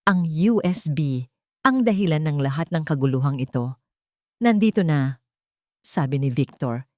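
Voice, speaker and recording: A woman's voice: low-pitched, normal speed, darkish tone, dry recording, clean studio sound. A woman reading dramatic scripted narration for an audio drama.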